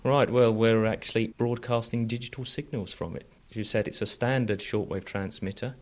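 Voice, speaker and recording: A man with a fairly low voice talks as an engineer being interviewed on radio. His technical explanations are conversational and informal, coming out in slightly halting phrases. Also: steady tone.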